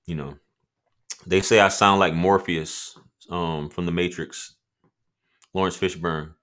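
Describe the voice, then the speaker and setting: Man, deep voice, livestream host, casual and profane, reading chat. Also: steady tone, takes it slowly, fairly bright tone. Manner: loose, profane, laughing asides.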